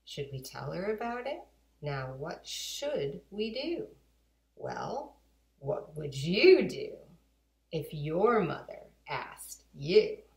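Animated woman reading aloud, slow and roomy. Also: lowish voice, gravelly. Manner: animated, deliberate storytelling. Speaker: guest reader. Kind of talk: expressive children's storybook read-aloud. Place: home kitchen and dining room indoors. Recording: roomy home kitchen echo.

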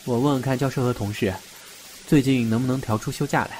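A man's voice: medium pitch, steady tone, fast, darkish tone, slight background noise, lightly acted, rough recording. A man playing a prickly, curt young academic with a grumbling manner in an audio drama. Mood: reserved. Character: prickly, curt young academic, secretly fond of his boyfriend.

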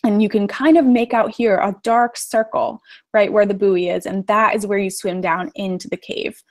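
A woman's voice: mid-range voice, fairly even intonation, normal speed. A young American woman giving an informal explanatory talk to students over a live stream, in simple, step-by-step terms. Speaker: photographer and cave diver giving a classroom talk. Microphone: live stream video call.

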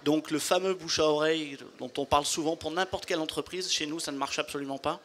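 Brief: founder, 40s, man, slightly high voice, calm explainer, handheld mic. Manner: measured, explanatory, businesslike. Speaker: company founder. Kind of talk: trade fair interview. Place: trade fair studio booth.

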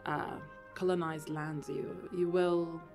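A woman's voice: lowish voice, takes it slowly, vocal fry, music in the background. A young woman from Lebanon, a composer, talking animatedly in a podcast interview and laughing often. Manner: animated, laughing often, switching between languages. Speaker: composer guest. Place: room with Christmas trees. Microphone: studio mic on boom arm.

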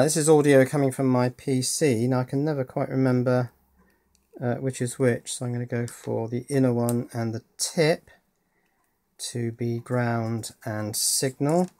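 Male electronics hobbyist presenter, mid-pitched voice, informal running commentary while working at a bench. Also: darkish tone. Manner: thinking aloud, informal. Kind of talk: casual hands-on demonstration commentary. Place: indoors at a workbench. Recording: music playing in the room.

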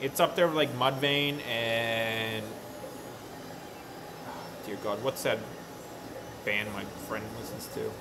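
A man's voice: mid-range voice, fairly even intonation, takes it slowly, long pauses, background noise. A man in his thirties chatting casually with his live stream while working at a crafting table, rambling and often correcting himself. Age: 30s. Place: workshop room with a worktable.

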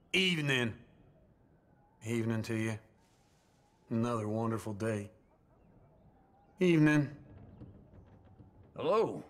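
An actor playing a folksy, cranky old layabout cowboy with an American Southern accent in a video game. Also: male voice, mid-range voice, sharp tone, exaggerated voice. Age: older. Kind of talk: video game character greetings.

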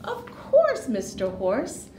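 A woman voicing eager, polite little farm animals from a children's storybook, excitable and sing-song. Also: high voice, slow, voice pushed up, full character voice. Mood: eager, pleading. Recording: roomy, distant mic with faint noise.

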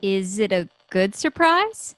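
A woman's voice: mid-range voice, sing-song, slow, fairly bright tone, dry recording. Woman acting as candid bookish radio co-host. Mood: hesitant. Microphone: close studio mic on boom arm.

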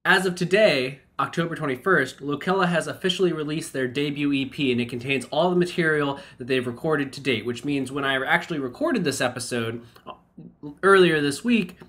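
Fast-talking congested male podcast host. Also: mid-range voice, a bit bright. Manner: quick, chatty and enthusiastic. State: congested from a cold. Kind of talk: podcast intro and interview.

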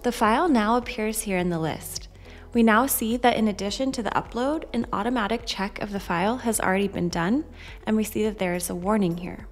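A woman reading a scripted software demonstration in a measured, instructional way. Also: medium pitch. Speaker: software demo narrator. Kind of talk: scripted tutorial narration. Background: background music.

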